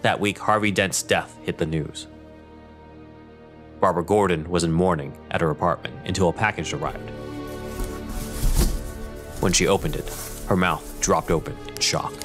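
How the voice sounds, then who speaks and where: A man's voice: low-pitched, steady tone, moderate pace, pauses often. A man narrating a scripted audio drama as storyteller. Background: music.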